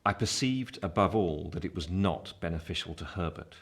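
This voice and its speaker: An actor playing a reflective Victorian gentleman narrating his own life story, measured and literary. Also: deep voice, lively intonation. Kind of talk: scripted narration.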